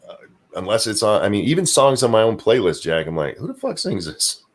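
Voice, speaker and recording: A man with a very deep voice, co-hosting a casual livestream chat, rambling and swearing freely while he hunts for names he has forgotten. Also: sing-song, fairly quick. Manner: profane, rambling, trails off searching for names. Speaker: podcast co-host.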